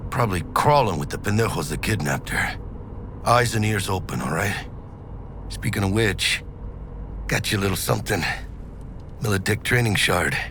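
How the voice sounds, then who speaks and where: A man's voice: on the low side, animated, moderate pace, long pauses, bright, forceful tone, a little hiss or hum. A male actor voicing a brash, big-hearted street mercenary in a video game, with a Mexican American accent and slangy talk sprinkled with Spanish. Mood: teasing, focused. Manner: slangy, swaggering, Spanish words mixed in.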